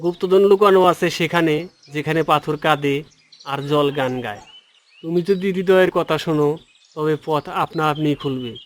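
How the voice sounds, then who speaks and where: Man voicing a solemn noble king, highish voice. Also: normal speed, natural voice. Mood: solemn, warning. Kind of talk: cartoon fairy-tale dialogue and proclamation.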